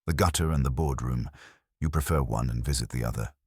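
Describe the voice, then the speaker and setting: A man's voice: a bass voice, fairly quick, a little mellow, breathy edge, dry recording, studio quality, voice pushed down, heavily acted. A man voicing an imperious, cerebral detective in an audiobook, formal, precise and courteously cutting. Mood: dry disdain. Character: imperious, cerebral middle-aged male master detective.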